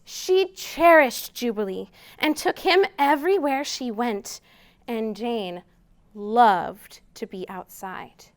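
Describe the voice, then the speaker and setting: Slow, high-voiced woman reading to children. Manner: expressive, simple questions and prompts to children. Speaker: children's storytime host at a library. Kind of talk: storytime read-aloud and chat to young children.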